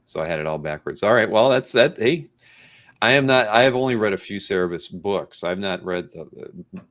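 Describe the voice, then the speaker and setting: Low-voiced man hosting a casual interview. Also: expressive, fairly quick, a bit bright. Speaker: host. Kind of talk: casual live-stream interview.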